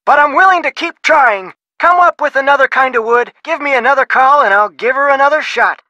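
An actress playing an absent-minded, rambling maritime librarian in a video game, answering the phone. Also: female voice, high-pitched, brisk, sharp tone, a little hiss or hum. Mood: distracted. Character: scatterbrained maritime librarian woman.

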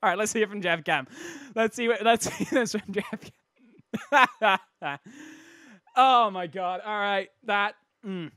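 A man with a slightly croaky voice hosting casual, jokey video game commentary in a self-interrupting way. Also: sits high, slow, pushed and bright, no room sound, clean studio sound. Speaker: host of a game replay showcase.

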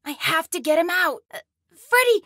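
A woman's voice: very high voice, big pitch swings, moderate pace, sharp tone, dry recording, studio quality, full character voice. A woman voicing a young boy in a video game, scared but plucky and resourceful, speaking in an earnest, anxious way. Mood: alarmed, urgent.

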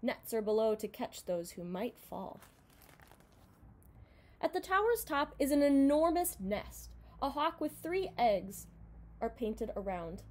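Young woman, fairly high voice, very lively intonation, expressive children's book read-aloud, home recording. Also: slow. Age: young adult. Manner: expressive, dramatized reading. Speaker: storybook reader. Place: indoor room at home.

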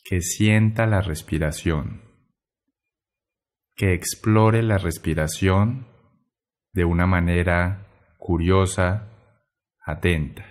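Slow, low-voiced man guiding meditation. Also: fairly even intonation. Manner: gentle, measured, pausing between short phrases. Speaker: meditation guide. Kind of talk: guided meditation narration.

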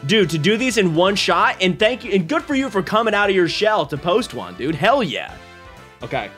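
A young man in his twenties hosting a stream, reacting to clips with excited, laughing commentary from a small home room. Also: high voice, normal speed, soft background music. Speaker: streamer host. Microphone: boom mic on arm.

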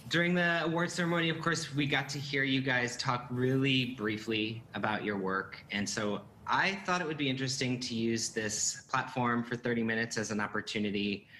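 American man, thirties, bright forward voice, chatty moderator, casual, video call. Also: mid-range voice, slight background noise. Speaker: panel moderator. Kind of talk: casual panel hosting over video call. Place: indoor room at home on a video call. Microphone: computer video call.

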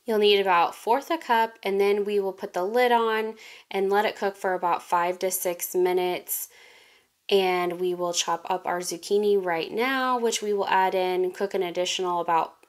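A woman's voice: medium pitch, normal speed. Chatty young woman cooking at home. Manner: chatty and relaxed, laughs at her own slips. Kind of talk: casual cook-along narration. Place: home kitchen. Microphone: phone camera over the counter.

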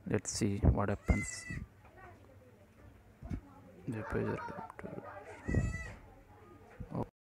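A man presenting an unscripted screencast coding tutorial in short, halting phrases. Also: on the low side, huge pitch swings, vocal fry, noisy background.

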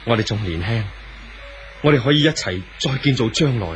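An actor playing an earnest, brooding young lover in a 1970s radio drama, solemn and poetic, with a Hong Kong Cantonese accent. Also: male voice, mid-range voice, animated, fairly quick, dull audio, faint music behind, close to an everyday voice. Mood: tender, hopeful. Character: earnest young romantic man, idealistic and brooding.

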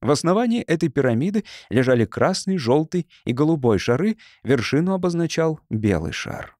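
A man's voice: fairly high pitch, big pitch swings, normal speed, darkish tone, no room sound, studio quality. A man reading scripted literary prose as an audiobook narrator.

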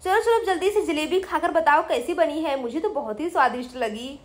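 A woman's voice: high-pitched, fairly quick, lightly acted. A woman voicing a cheerful, doting mother who chats encouragingly in a children's doll story. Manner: encouraging, chatty.

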